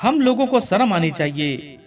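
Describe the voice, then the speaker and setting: A man's voice: high-pitched, very animated, normal speed, soft background music. A man voicing a guilt-stricken middle-aged judge in a moral story, solemn, reproachful and emotional. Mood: anguished reproach.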